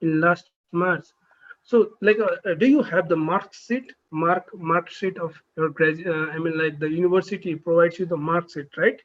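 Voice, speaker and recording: A man who is a neurosurgery trainee, chatting on a video call and asking halting, filler-heavy questions. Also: on the high side, lo-fi sound. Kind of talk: casual video call chat.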